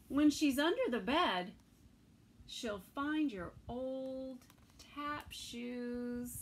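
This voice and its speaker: Middle-aged woman, story time reader for small children. Slow, expressive, fairly high voice, home recording. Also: slight background noise. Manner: slow, expressive, pausing between phrases. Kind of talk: reading a picture book aloud to toddlers. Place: small indoor room with bookshelves.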